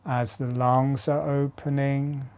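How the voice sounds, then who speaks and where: Man, meditation instructor, extremely slow delivery, soft-edged mellow tone, measured short phrases, long pauses, guided breathing style. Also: mid-range voice, flat delivery, clear, resonant tone.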